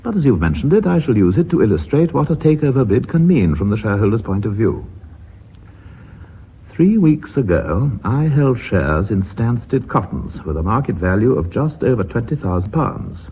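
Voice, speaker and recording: An actor playing a blunt, authoritative company chairman in a radio drama, with an English accent and formal, measured, courteous speech. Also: medium pitch, very animated, slightly rough, heavily acted. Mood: firm, reasoning. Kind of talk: BBC radio drama acting. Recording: muffled phone-like old radio recording, hiss.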